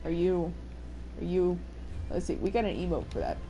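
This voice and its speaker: A young woman with a fairly deep voice for a woman, chatting casually and joking while streaming a game, swearing now and then. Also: normal speed, lo-fi sound, background noise. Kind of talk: casual gameplay chat.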